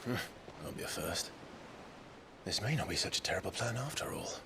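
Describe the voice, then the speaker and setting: An actor playing a brooding, sceptical younger warrior in a video game, with a dry, sardonic, terse delivery. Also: male voice, deep voice, lively intonation, a little fast, noisy background. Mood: dry scepticism.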